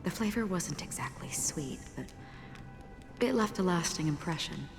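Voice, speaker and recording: An actress playing a calm, melancholy female wanderer in a game, speaking softly and breathily in a contemplative way. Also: on the low side, moderate pace, natural voice. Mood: wistful, serene. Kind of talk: quiet dramatic game dialogue. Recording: long reverb, music behind the voice. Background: music.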